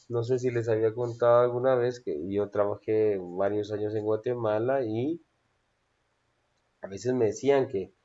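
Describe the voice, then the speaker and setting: A man's voice: on the low side, mellow, dull audio. A man teaching a class on public speaking, hesitating often and checking in with his students. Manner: hesitant with frequent "eh" fillers and checking "¿sí?". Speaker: instructor.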